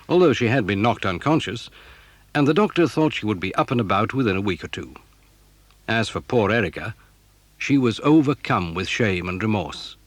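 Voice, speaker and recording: A man's voice: on the low side, no room sound. A man reading a scripted children's story as narrator.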